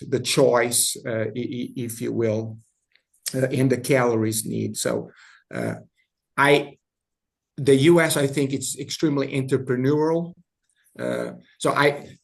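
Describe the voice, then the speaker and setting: A man from Brazil with a Brazilian Portuguese accent, speaking haltingly as a panel guest, restarting and repeating words often. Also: medium pitch, vocal fry. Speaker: industry guest. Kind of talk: panel discussion.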